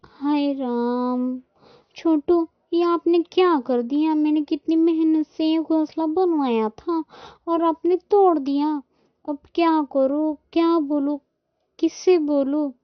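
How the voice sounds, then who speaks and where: A woman voicing an exasperated, dramatic mother crow in a children's cartoon, complaining loudly and emphatically. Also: sits high, lively intonation, unhurried. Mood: upset, scolding. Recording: dull lo-fi sound.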